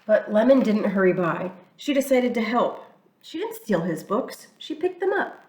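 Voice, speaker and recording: A woman's voice: mid-range voice, normal speed, a little hiss or hum. Woman reading a storybook, echoey. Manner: expressive, storytelling. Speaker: church reader. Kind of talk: children's picture book read-aloud. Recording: echoey room, mic far off.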